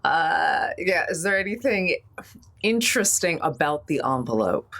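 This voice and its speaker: A woman's voice: deep voice, big pitch swings, unhurried, gravelly, fairly bright tone. A woman chatting casually as a player in a tabletop role-playing game, with a wry manner.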